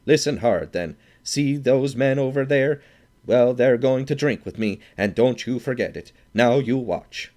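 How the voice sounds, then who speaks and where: A man voicing a burly, drunken Swede who blusters and shouts his demands. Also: sits low, steady tone, moderate pace, few pauses, natural voice. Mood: belligerent.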